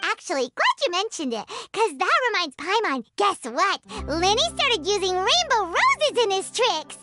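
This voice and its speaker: Squeaky, bouncy game fairy sidekick, over music. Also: female voice, sing-song, normal speed. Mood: excited. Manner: chirpy, talks about herself by name. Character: small excitable fairy companion girl. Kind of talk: video game voice acting.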